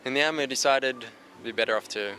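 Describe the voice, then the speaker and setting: A man's voice: medium pitch, quick pace, bright, forceful tone, slight background noise, poor audio. A man with an Australian accent, a dancer and choreographer answering interview questions in an easygoing, chatty way. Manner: easygoing, chatty, starts sentences with "so". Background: music playing.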